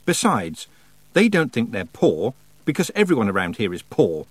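A man voicing a sensible, sharp-tongued young witch girl in a storybook, plain-spoken and firm. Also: fairly low pitch, wildly swooping pitch, moderate pace, dry recording. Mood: stern, exasperated.

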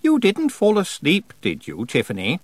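Male narrator as haughty teenage witch girl, high voice, prissy exclamations, easily flustered, audiobook character. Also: wildly swooping pitch, measured pace, a bit bright, dry recording, exaggerated voice. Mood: smugly playful.

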